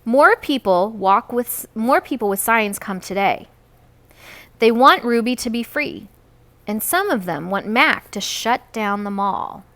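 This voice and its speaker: A woman reads a children's book aloud. Her voice is bright and a little high, and her pitch moves in a lively, expressive way. She narrates as a storyteller would. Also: a little slow. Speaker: read-aloud reader.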